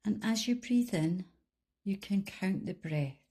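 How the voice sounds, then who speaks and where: A woman leading a guided body scan meditation in a calm, soothing, unhurried manner, leaving long pauses between instructions. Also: medium pitch. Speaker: meditation guide, life coach.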